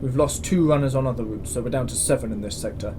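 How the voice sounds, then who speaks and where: A man's voice: mid-range voice, fast talker, natural voice. Stern, exasperated commandant acted by a man, noisy background. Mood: stern warning. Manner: curt, authoritative. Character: stern, exasperated male space station commandant. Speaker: military commandant. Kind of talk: science-fiction audio drama acting. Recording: clear background ambience noise.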